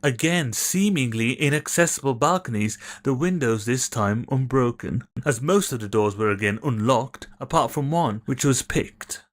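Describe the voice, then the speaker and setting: An actor playing a formal, courteous gentleman official with a measured manner in an audio drama. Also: mid-range voice, animated, lightly acted. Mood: grave, businesslike.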